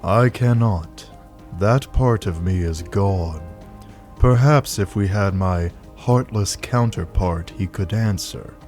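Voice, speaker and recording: A man playing a cold, formal villain in a scripted game parody, speaking in measured, archaic phrases with detached restraint. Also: low voice, a little mellow. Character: cold, formal, heartless villain with a lingering will.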